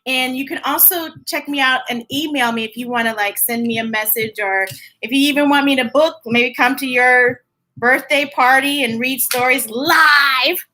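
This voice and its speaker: A woman's voice: high-pitched, moderate pace, pushed and bright. An American woman chatting casually and playfully to young viewers as a children's story time host, stretching words for emphasis.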